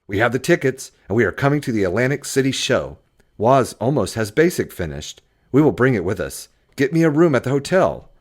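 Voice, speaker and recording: A man reads as a brash young male computer entrepreneur from an audiobook memoir. He is eager and pushy, with emphatic, widely swinging intonation that lifts above a calm talking pitch. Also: a little fast. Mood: excited, demanding.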